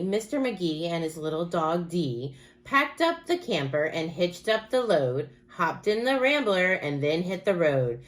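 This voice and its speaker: Woman, slightly low pitch, teacher reading to kids, storybook narration, expressive, rhythmic, dramatic emphasis. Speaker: early childhood teacher. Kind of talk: children's picture book read-aloud.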